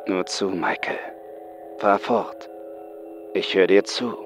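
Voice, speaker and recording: A man puts on a patient, soft-spoken psychiatrist for a horror story. He is measured and coaxing, very slow in his delivery, with music behind him. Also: low voice, fairly even intonation. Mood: gently probing. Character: calm, patient male psychiatrist, older than his patient. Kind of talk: horror audiobook acting.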